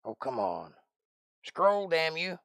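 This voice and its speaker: Streamer, man, 40s; gravelly, folksy rambler; dry close mic. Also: a little high, big pitch swings, slow, fairly bright tone, dry recording. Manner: rambling, folksy, thinks aloud. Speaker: live stream host. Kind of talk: casual chat answering live chat.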